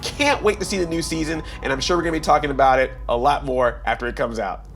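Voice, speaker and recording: A man co-hosting a talk show, speaking as an enthusiastic, excitable fan. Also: on the high side, fast talker, soft background music. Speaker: co-host.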